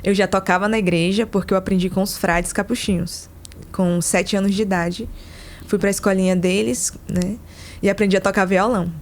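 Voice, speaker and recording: A woman's voice: medium pitch, fairly even intonation, normal speed, slight background noise. A woman from Amazonas with a Brazilian accent, giving casual personal testimony as a podcast guest. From interior of Amazonas, Brazil. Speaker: podcast guest, consecrated religious community member.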